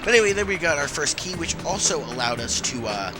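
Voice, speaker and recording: A man's voice: fairly high pitch, sing-song, quick pace. A man with a nasal, under-the-weather voice hosting a casual gameplay commentary, rambling with lots of fillers. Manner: rambling with many fillers and restarts. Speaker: let's play host. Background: game music.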